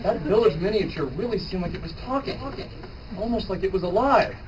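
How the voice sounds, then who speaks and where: A man's voice: sits high, expressive, a little fast. A man playing an earnest teenage boy gamer with an explanatory manner, in a live manga reading recorded from far off. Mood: wondering. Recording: slightly noisy distant live room recording.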